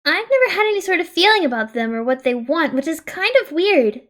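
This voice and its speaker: Woman playing a high, lilting, gentle teenage girl. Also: sing-song, fairly quick, full character voice. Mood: patient, mild. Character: gentle, upbeat teenage girl chatting online.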